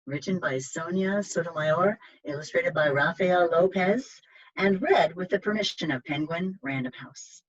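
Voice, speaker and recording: A woman's voice: lowish voice, unhurried, slightly rough. A woman hosting an online children's library program, chatty and polite as she reads and explains, heard over a video call. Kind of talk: reading aloud and guiding viewers through an online library program. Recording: dull, lo-fi video call audio.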